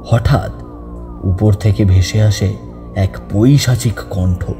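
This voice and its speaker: A man's voice: fairly low pitch, slow pace, creaky. A man narrating a scripted horror story as an audiobook narrator. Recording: long reverb over background music.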